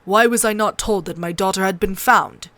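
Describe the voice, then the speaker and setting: A woman's voice: medium pitch, moderate pace, a bit bright, no echo, close to an everyday voice. A woman playing a stern, dignified nobleman and protective father in an audiobook. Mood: cold, demanding.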